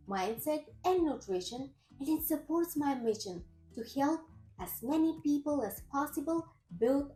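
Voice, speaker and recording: A Bulgarian-accented woman in her thirties speaks in a high, soft-toned voice, earnest and measured as she tells her story to camera. The room rings with echo, and light music sits underneath. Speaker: personal trainer and nutritionist. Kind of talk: personal story vlog. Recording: roomy echo with faint music.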